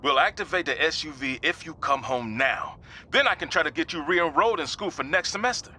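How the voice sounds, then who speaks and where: Game dad: middle-aged, petty, melodramatic, bright forceful tone, swooping delivery. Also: male voice, a little high, lively intonation, brisk, slight background noise. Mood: conciliatory. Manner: rambling, indignant, comically melodramatic.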